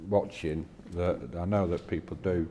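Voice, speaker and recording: A man's voice: low voice, fairly even intonation, moderate pace, warm tone, big-room sound, a little hiss or hum. A man in casual podcast discussion, speaking as a co-host in a tentative, questioning way.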